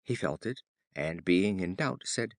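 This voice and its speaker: A man reading children's fables as an audiobook narrator, in a measured, formal storybook style. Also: low voice, lively intonation, no room sound, clean studio sound.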